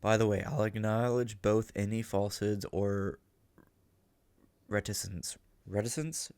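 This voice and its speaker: A man's voice: on the low side, fairly even intonation, measured pace, a little mellow, clear, resonant tone, no room sound. A man giving casual, rambling commentary as a reaction host, emphatic, often tagging on "right?" and swearing freely. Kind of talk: casual spoken commentary on an audio drama.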